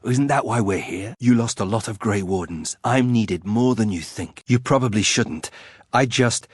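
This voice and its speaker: An actor playing a proud, brooding young nobleman archer in a video game, husky, with a terse, wry, guarded manner. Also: fairly low pitch, normal speed, no echo, studio quality. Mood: somber, resolute. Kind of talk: video game character acting.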